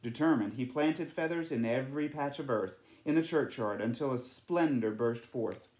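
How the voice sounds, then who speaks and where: A man's voice: mid-range voice, normal speed, a little mellow. A man reading a children's picture book aloud as a storyteller. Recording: echoey room.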